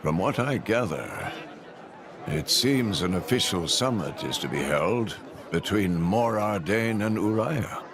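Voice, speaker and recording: An actor playing a scholarly, level-headed companion in a video game, speaking formally and precisely. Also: male voice, fairly low pitch, lively intonation, measured pace, noisy background. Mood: composed, puzzled. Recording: music behind.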